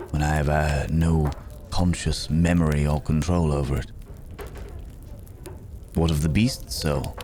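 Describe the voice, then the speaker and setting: Man playing a very deep-voiced, musing werewolf wanderer. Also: a little mellow, slight background noise. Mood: brooding, musing. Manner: musing, hesitant, speaking in unhurried broken phrases. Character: weary, philosophical male werewolf, a hunted wandering companion. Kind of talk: intimate ASMR roleplay acting.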